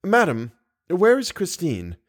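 An earnest young nobleman, confused and halting, his voice set a little higher, as a male narrator plays him and moving freely in pitch. He sounds like a figure in a storybook reading. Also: wildly swooping pitch, heavily acted. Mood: bewildered.

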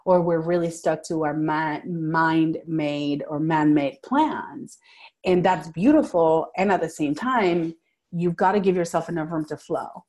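A woman speaks as an interview guest. She is emphatic and lively, often restarting her sentences. Her voice sits a little low. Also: measured pace. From Venezuela. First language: Spanish. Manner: animated, emphatic, frequent "like" fillers and restarts. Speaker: guest, manifestation coach. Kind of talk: casual interview talk.

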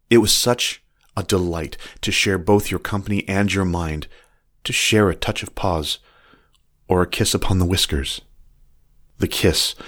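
Smitten young fox played by a man, deep voice, earnest formal letter-reader. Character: lovesick young male fox writing letters. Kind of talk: scripted reading of letters.

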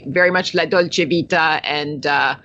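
A woman's voice: low-pitched, unhurried, a bit bright. A woman in her sixties, born in Italy and raised in the United States, telling her family story as an interview guest and laughing often. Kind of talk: conversational interview. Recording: slightly dull lo-fi audio.

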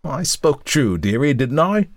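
Male reader doing a wheezing, sly old hag, pitched up and pushed hard, dry close read. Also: big pitch swings, measured pace, dry recording. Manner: leering, wheedling, calls everyone dearie. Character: wheezing, scarred, cunning old woman.

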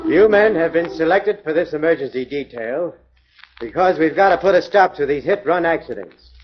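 Stern police inspector. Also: male voice, on the high side, animated, moderate pace, slightly rough, soft background music. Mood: commanding. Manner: commanding, addresses detectives. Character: stern middle-aged male police inspector. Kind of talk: 1940s radio acting. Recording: dull 1940s radio recording.

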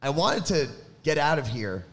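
Young male radio host, chatty, jokey, somewhat high voice, live podcast. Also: big pitch swings, normal speed, a bit bright. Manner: laughing, jokey banter. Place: live podcast event before an audience.

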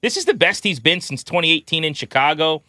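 A man's voice: a little high, animated, brisk, bright, forceful tone, no room sound, studio quality. A man co-hosting a sports podcast, giving conversational baseball analysis in a methodical, explanatory way.

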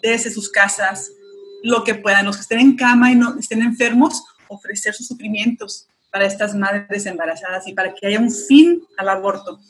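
A woman's voice: medium pitch, steady tone, normal speed, slight background noise, poor audio. Earnest woman speaking Spanish. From United States. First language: English. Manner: earnest and encouraging, urgent appeals. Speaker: pro-life activist guest. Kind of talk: interview answer, exhorting listeners.

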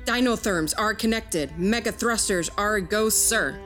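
An actress playing a dutiful, deadpan but playful ship's engineer in an audio drama. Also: female voice, mid-range voice, unhurried, soft background music, close to an everyday voice.

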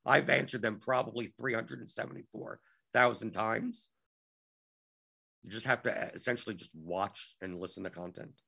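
A man's voice: medium pitch, gravelly, fairly bright tone, husky. A man hosting a live Q&A stream, his voice worn out, talking in an exasperated way and repeating himself. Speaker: show host.

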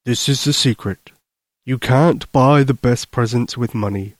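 A man voicing a jolly, kindly old Santa Claus in a bedtime story, slow, gentle and reassuring. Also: mid-range voice, no echo, clean studio sound, natural voice. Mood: gentle, confiding.

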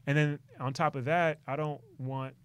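A male comedian hosting a podcast, an animated, slangy storyteller who slips into quick voices. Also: on the high side, clear, resonant tone. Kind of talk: casual chat.

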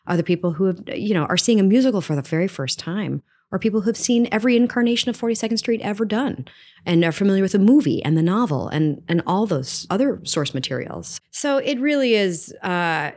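Brief: deep-voiced actress, woman, chatty interview guest who keeps checking "you know what I mean"; sits well below the usual female range. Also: a little mellow. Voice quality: low speaking voice. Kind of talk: conversational storytelling interview.

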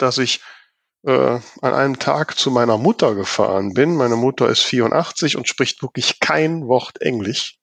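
A man's voice: mid-range voice, no room sound. A man hosting a casual podcast conversation, often hesitating and searching for his words. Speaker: podcast host.

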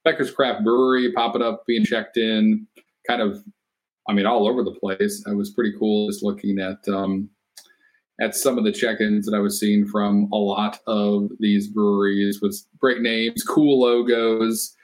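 Male co-host, fairly low voice, upbeat and reactive. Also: little pitch movement, unhurried. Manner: enthusiastic, short reactions. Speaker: podcast co-host. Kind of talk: casual beer podcast chat.

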